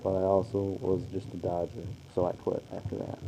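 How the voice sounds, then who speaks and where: Man playing a low, flat-voiced 1940s ballplayer. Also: brisk, noisy background. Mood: earnest, matter-of-fact. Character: famous 1940s male baseball player being interviewed. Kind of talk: amateur scripted radio interview.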